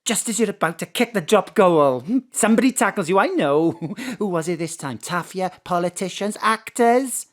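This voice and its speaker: A woman's voice: lowish voice, expressive, moderate pace, hardly any pauses, close to an everyday voice. An actress playing a fussing, chatty Welsh landlady with a homely, teasing manner. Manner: homely, teasing, calls people love.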